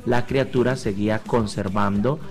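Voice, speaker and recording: A man's voice: lowish voice, steady tone, moderate pace, darkish tone. Man explaining news on radio. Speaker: radio commentator.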